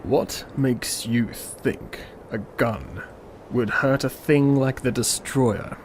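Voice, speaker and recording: Man playing a slow-talking, smug world-destroying demon. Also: medium pitch, slight background noise, lightly acted. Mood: probing. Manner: unhurried, menacing, condescending. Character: calm, smug destroyer demon, world-ending evil being. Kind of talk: old-school radio drama acting.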